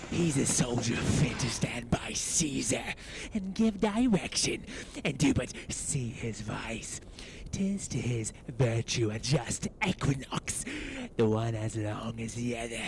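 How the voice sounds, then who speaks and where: A man's voice: mid-range voice, lively intonation, slow pace, few pauses, noisy background. A man playing a scheming soldier who feigns honest concern, in theatrical Shakespearean style. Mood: sly, confiding.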